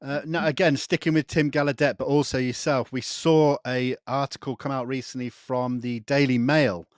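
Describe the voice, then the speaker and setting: A man's voice: medium pitch, level tone, moderate pace, no echo, clean studio sound. A man hosting an interview in a conversational, agreeable way, affirming what the guest says. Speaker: interview host.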